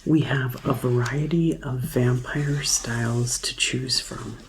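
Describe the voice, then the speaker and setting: A woman doing a soft-spoken, often whispered ASMR roleplay as a gentle, deliberate receptionist attending to a customer. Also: very deep voice, fairly even intonation, slight background noise, poor audio. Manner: slow, gentle, deliberate customer-service delivery. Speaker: ASMR performer playing a vampire conversion receptionist.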